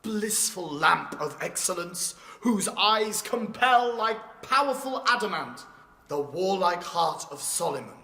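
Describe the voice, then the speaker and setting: An actor playing a lovesick young prince performing as a Turkish emperor, declaiming verse with ornate, theatrical passion. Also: male voice, high voice, big pitch swings, a little slow, gravelly, pushed and bright, exaggerated voice. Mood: ardent, grand. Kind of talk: declamatory Elizabethan verse in radio drama. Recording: reverberant hall.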